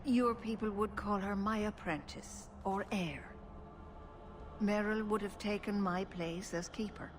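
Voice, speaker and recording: Woman acting slow, solemn elven clan keeper. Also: medium pitch, fairly even intonation, background noise, natural voice. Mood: grave, measured. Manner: measured, grave, formal. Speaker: clan leader and spiritual guide. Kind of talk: fantasy video game dialogue.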